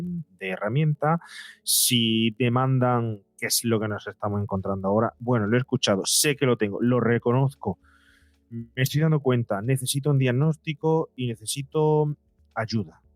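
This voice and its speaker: A man speaking as a psychologist guest on a podcast, explaining things conversationally in a wordy, halting way with frequent tag questions. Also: on the low side, no room sound.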